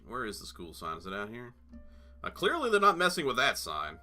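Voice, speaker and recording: Male gaming host, rambling let's-play commentary, very bright voice, lively pitch. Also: a little fast, soft background music. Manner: rambling, chatty, full of fillers and asides.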